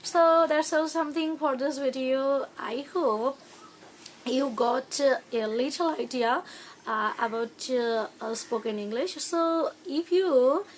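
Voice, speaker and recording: A young Bangladeshi woman, a native Bengali speaker, hosting her own channel and talking to viewers in halting English full of "uh" fillers and restarts. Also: high-pitched, slight background noise. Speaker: YouTube channel host. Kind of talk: casual talk to camera on learning English.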